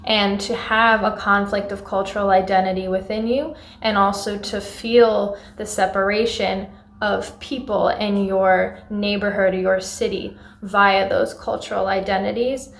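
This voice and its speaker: A woman giving articulate, analytical film feedback as an audience member, in measured and formally phrased sentences. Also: mid-range voice, flat delivery, creaky. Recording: roomy echo.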